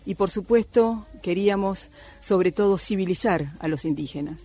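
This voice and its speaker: A woman's voice: medium pitch, normal speed, dark tone. A middle-aged woman from Cuba, an anthropologist, speaking thoughtfully with many hesitations in a radio interview. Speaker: anthropologist guest.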